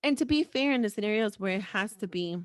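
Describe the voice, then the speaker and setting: A woman's voice: mid-range voice, lively intonation, fast, no echo. Candid woman podcaster, dry close sound. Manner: frank, informal, repeats phrases for emphasis. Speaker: podcast host. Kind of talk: candid, unscripted podcast conversation.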